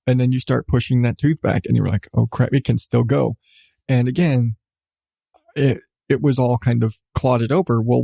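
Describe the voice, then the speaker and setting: Gravelly, mellow man telling stories. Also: medium pitch, dry recording. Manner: profane, emphatic, repeats phrases for effect. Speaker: podcast host. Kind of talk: casual personal storytelling.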